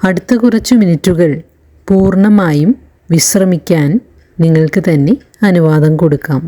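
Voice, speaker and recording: A woman leading a guided meditation in a calm, soothing narration, leaving long pauses between phrases. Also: fairly low pitch. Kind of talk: slow guided relaxation narration.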